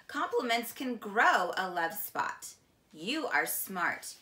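Female read-aloud teacher, middle-aged, unhurried and expressive, crisp bright tone, slightly low-pitched, roomy sound. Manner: slow, deliberate, expressive storytelling. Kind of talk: children's picture book read-aloud. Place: small indoor room, seated on couch. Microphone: camera a few feet away. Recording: echoey room.

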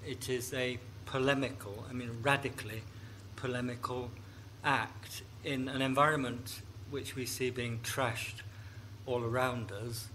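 A man, a writer on a panel, answering carefully and deliberately, slowing down to stress key phrases. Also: medium pitch, fairly even intonation, sharp tone, a little hiss or hum, poor audio. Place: festival stage before a live audience.

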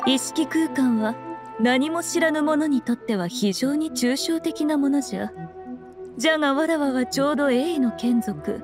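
A woman's voice: sits high, normal speed, lightly acted. A woman playing a sly, regal fox shrine priestess in an anime game, speaking in an archaic, haughty and composed way. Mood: measured, knowing. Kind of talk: anime video game acting. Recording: music behind the voice.

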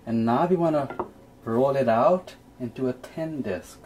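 A man's voice: mid-range voice, a little slow, a little mellow, dull audio, a little hiss or hum. A young man giving a casual, step-by-step cooking demonstration as host in a small home kitchen. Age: young adult. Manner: explains step by step.

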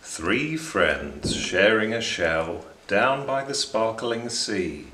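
A man in his thirties reading a rhyming children's picture book aloud in an expressive, measured, dramatic way. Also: lowish voice, a little hiss or hum. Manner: measured, dramatic delivery for young listeners. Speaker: children's storybook reader. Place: small indoor room beside bookshelves. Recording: roomy indoor echo.

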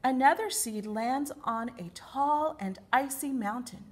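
Unhurried, higher-pitched woman reading to children. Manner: expressive, addressing young children. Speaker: library storytime reader. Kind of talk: children's picture book read-aloud.

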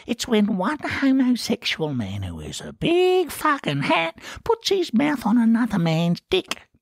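A man's voice: very high voice, huge pitch swings, a little slow, vocal fry, no room sound, clean studio sound, pitched above the performer's own voice, exaggerated voice. A man voicing a foul-mouthed, combative Australian pub lout in a story reading. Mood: mocking. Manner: crude, loud, combative.

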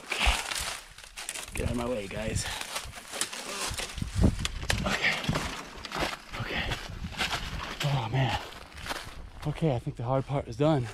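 A man's voice: mid-range voice, slow pace, pauses often. A man talking casually to himself in short, exclamatory bursts as he hikes and films outdoors. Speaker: hiking vlogger. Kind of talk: casual off-the-cuff trail narration. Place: outdoors on foot in a rocky wooded canyon. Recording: outdoor recording with clear handling and nature noise.

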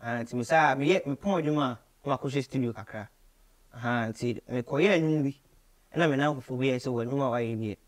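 A man's voice: medium pitch, fast. Young Ghanaian man telling his story. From Ghana, Kumasi. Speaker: musician guest. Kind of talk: conversational storytelling in an interview. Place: small radio studio, indoors.

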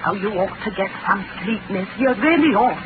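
Woman doing a fussy stewardess, coaxing, lively high voice, hissy old radio. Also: a little fast, natural voice. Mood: pleading. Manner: coaxing, with a homely lower-class dialect. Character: anxious ship's stewardess, fussing and pleading. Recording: lo-fi old radio broadcast with hiss.

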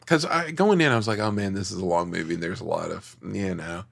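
A man with a very low, gravelly voice talks through a film review in casual podcast chat. He hesitates and restarts often, working out his thoughts as he goes. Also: fast talker, fairly bright tone. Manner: hesitant, thinks aloud with many restarts. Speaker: podcast co-host.

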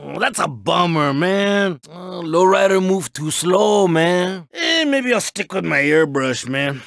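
Cool lowrider car for a video game, really high voice, super lively, slangy. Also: male voice, creaky, no echo, clean studio sound, exaggerated voice. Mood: sulky, mellow. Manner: slangy, cool, drawn-out street talk. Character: laid-back, proud lowrider car, paint-shop artist. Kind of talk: animated video game voice acting.

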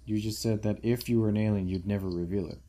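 A man's voice: on the low side, fairly even intonation, fast talker, noisy background. A young man co-hosting a casual pool game video, teasing in a mock-formal manner. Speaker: gamer co-host. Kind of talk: casual gaming chat.

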